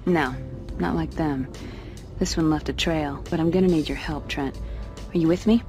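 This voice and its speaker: An actress playing a brisk, sarcastic female agent in a game cutscene, impatient with her partner. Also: sits low, mellow, faint music behind, lightly acted. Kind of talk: video game cutscene acting.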